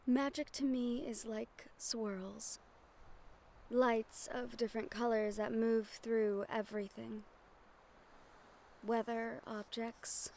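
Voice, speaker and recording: A woman playing a gentle, earnest young magic-wielder in an audio roleplay, soft-spoken and measured with thoughtful pauses. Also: mid-range voice, slight background noise. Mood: wistful, explaining. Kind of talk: audio roleplay acting, addressed to the listener. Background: ambient sound effects.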